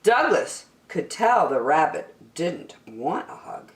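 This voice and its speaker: A woman reads a picture book for young children at an unhurried pace. Her pitch rises and falls expressively, with key words drawn out. The room around her is echoey. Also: very animated. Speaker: storyteller. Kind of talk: animated children's storybook reading.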